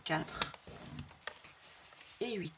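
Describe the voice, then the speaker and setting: A woman with a slight head cold hosting a craft tutorial in a friendly, chatty way, repeating each measurement slowly for her viewers. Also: heavy background noise. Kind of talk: casual step-by-step craft tutorial.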